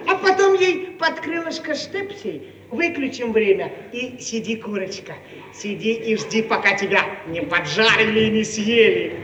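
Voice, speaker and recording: An actor playing a brash, jovial, practical young comrade with a folksy, teasing manner in a radio play. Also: male voice, high-pitched, expressive, unhurried, fairly bright tone, dull audio. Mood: brisk, teasing. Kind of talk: Soviet radio play acting. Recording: echoey, faintly noisy archive radio recording.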